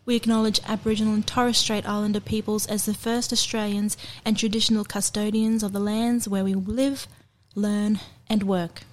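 A woman hosting a show, reading a scripted introduction in a measured, formal way. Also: mid-range voice, little pitch movement, slight background noise. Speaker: podcast host.

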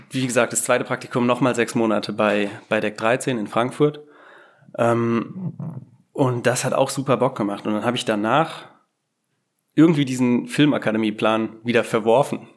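Rambling, self-deprecating young man. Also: mid-range voice. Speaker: guest game designer. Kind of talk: casual podcast interview. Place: Berlin.

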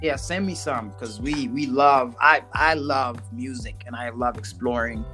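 A man's voice: medium pitch, measured pace, soft background music. Laid-back man hosting a casual interview. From Zimbabwe. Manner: relaxed, laid-back slang, many restarts. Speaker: podcast host.

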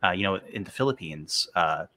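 A man's voice: low voice, animated, brisk, sharp tone, a little hiss or hum. A man hosting a podcast, explaining ideas eagerly and hedging his opinions in casual conversation. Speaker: podcast host.

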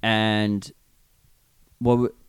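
A man's voice: on the low side, level tone, drawn-out words, clean tone, dry recording. A man with a New York accent, co-hosting a casual podcast, speaking bluntly and swearing now and then. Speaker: podcast co-host.

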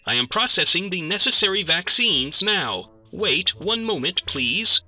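A man's voice: high-pitched, big pitch swings, measured pace, pushed and bright, dry recording, voice pushed up, heavily acted. A man voicing a polite, procedural medical robot drone. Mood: clinical.

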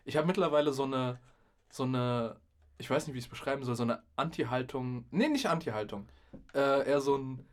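A young man in a casual podcast conversation, with a medium-pitched voice. He talks in loose slang like a DJ swapping stories, and he likes to act out the remarks he quotes. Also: brisk. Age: young adult. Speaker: podcast host and DJ. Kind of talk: casual chat, animated storytelling.

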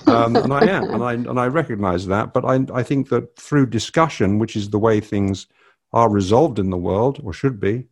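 A man's voice: mid-range voice, expressive, normal speed. An older man hosting a casual family podcast, rambling and hesitant with many fillers. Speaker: podcast host.

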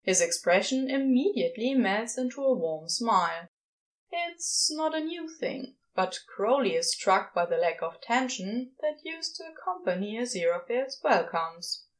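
A woman reading fiction aloud as expressive scripted narration, with dramatic pauses. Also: on the high side. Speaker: podfic reader.

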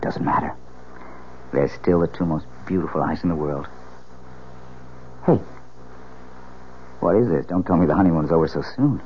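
An actor playing an affable, attentive new husband in a 1970s radio drama, casual and coaxing. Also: male voice, low voice, moderate pace, pauses often, close to an everyday voice. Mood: affectionate, coaxing. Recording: dull old radio broadcast, faint hiss.

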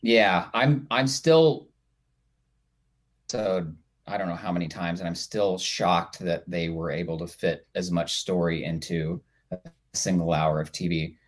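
A man's voice: low voice, a little slow. A man speaking as a TV writer and guest in a casual panel chat.

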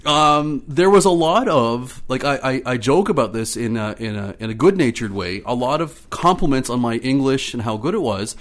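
A man's voice: mid-range voice, animated, normal speed, hardly any pauses. A Canadian man speaking as an interview guest, talkative and good-natured, telling things in an anecdotal, conversational way. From Ottawa, Canada.

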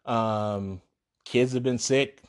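A man in his thirties talks through his solo podcast with a hoarse, cold-roughened voice. He rambles easily, filling gaps with ums and pausing to cough. His pitch sits at an ordinary middle height. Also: fairly even intonation. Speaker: podcast host. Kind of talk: casual solo podcast chat.

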